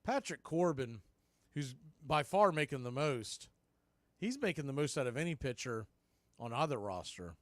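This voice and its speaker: A man calling live baseball on the radio, mixing clipped pitch calls with conversational, partisan asides. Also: animated, moderate pace, dry recording. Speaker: baseball radio play-by-play announcer. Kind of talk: live sports commentary.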